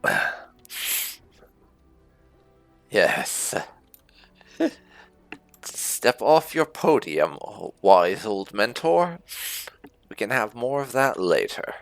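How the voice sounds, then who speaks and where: A man's voice: lowish voice, sing-song, drawn-out words, creaky, pauses often, dry recording, faint music behind. A man giving casual in-character roleplay commentary on a video game, musing wryly with muttered asides. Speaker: gaming channel host.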